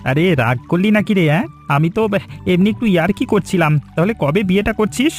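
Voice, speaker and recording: A man's voice: sits high, expressive, fast, faint music behind. A man voicing a playful, loyal young fisherman friend in a cartoon story, chummy and teasing. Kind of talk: acted cartoon story dialogue.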